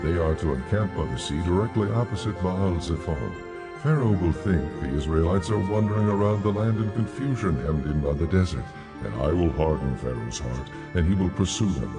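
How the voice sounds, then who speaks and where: A solemn, almighty deity in a dramatized scripture reading. The voice sits very low, grave and measured, and speaks with commanding authority. Music plays clearly underneath. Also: male voice, expressive. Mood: solemn, resolute.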